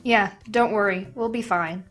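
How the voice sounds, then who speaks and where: A woman's voice: mid-range voice, normal speed, fairly bright tone, faint music behind, lightly acted. A woman voicing a dry, teasing, friendly teenage schoolgirl in a comic dub.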